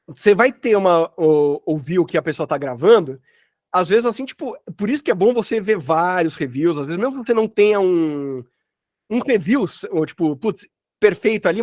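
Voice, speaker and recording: A man's voice: high-pitched, a little mellow, dry recording, studio quality. A Brazilian man teaching in an informal, colloquial way, thinking aloud and often rephrasing himself as he explains. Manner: rambling, colloquial, thinks aloud with frequent self-corrections. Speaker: workshop instructor, sound designer. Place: online workshop from own workspace.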